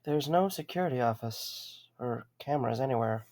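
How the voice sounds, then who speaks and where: A man playing an awkward, weary young man in an audio drama, with a halting, stammering delivery and a creaky voice. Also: mid-range voice, lively intonation, darkish tone, close to an everyday voice. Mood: polite, uneasy.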